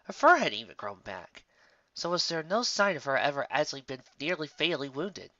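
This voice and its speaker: Bright-voiced man narrating a story. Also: on the high side, sing-song, fairly quick, dry recording, muffled recording. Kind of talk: amateur scripted story narration.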